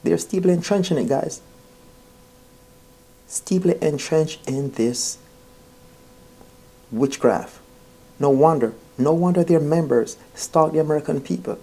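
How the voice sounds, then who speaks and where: Mellow-voiced man, earnest storyteller. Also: fairly high pitch, a little slow, pauses often. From Jamaica. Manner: earnest, emphatic, repeats phrases, often addresses listeners directly. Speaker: vlogger. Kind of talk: informal personal storytelling to viewers.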